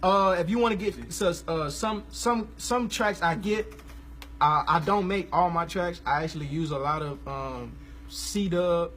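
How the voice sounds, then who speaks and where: A man, hosting a casual live stream about gospel music, talking in a relaxed, rambling, slangy way. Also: high voice, measured pace. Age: 20s.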